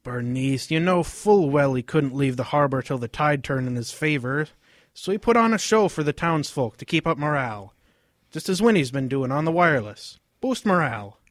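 A man's voice: a little high, animated, normal speed, no echo, studio quality, natural voice. A man playing an earnest English father, proud of history, lecturing in a radio drama. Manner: lecturing, storytelling.

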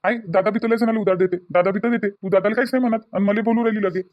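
A man plays an older brother in a cartoon who tries to calm everyone down and reason things out. He speaks in a fairly high voice at a very quick clip, with a Varhadi Marathi accent. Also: muffled recording, lightly acted. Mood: soothing. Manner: soothing, reasoning.

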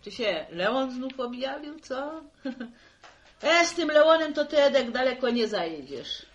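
High-voiced country mother. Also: sing-song, measured pace, muffled recording, slight background noise. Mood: inquisitive teasing. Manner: folksy, chatty, prone to exclaim. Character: practical country farm mother, teasing and fretting. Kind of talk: 1960s radio drama acting.

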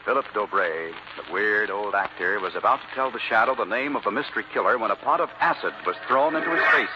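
Grave male announcer, actor, fairly low, vintage radio mystery narration, muffled sound. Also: natural voice. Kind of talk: scripted 1940s radio narration. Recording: muffled, noisy old radio recording.

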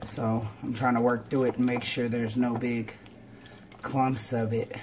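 A woman's voice: very deep voice, flat delivery, measured pace. A woman, casually talking through cooking steps for a home vlog, recorded on a camera in her kitchen. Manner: informal, step-by-step explaining. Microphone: camera on counter or at arm's length. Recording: noisy kitchen with frying and clatter.

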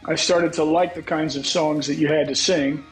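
A man's voice: on the high side, steady tone, moderate pace, faint music behind. Scratchy-voiced middle-aged man, frank. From United States. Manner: frank, reflective, swears casually. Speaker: guest songwriter. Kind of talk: candid interview talk. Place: home room, on a video call. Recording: echoey video call audio.

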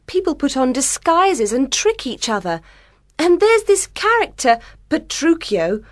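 A strong-willed young woman in a storybook, played by a woman narrator. Her voice is high and very animated, earnest and emphatic, full of feeling in a dry, close recording. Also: unhurried, dry recording. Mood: earnest, opinionated. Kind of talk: dramatised audiobook character voice.

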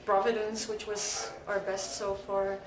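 Belgian woman, 30s, punk musician, relaxed chatty interviewee; distant camera mic in an echoey club with a crowd behind. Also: medium pitch, unhurried. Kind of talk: casual interview. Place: indoor club hallway, echoey.

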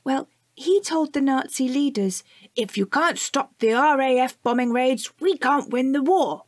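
A bright, bookish child, played by a woman in a radio play, with a high voice and a close, dry sound. The delivery is eager and earnest, carefully setting out facts. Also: a little slow, no echo. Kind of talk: audio drama dialogue.

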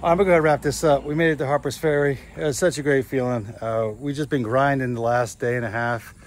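A man's voice: mid-range voice, fairly even intonation, noisy background. A man in his thirties vlogging his thru-hike in a casual, upbeat, easygoing way, filming himself with a phone at arm's length on a misty forest trail. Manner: upbeat, easygoing, drawn-out fillers. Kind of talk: casual trail vlog to camera. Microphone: phone held at arm's length.

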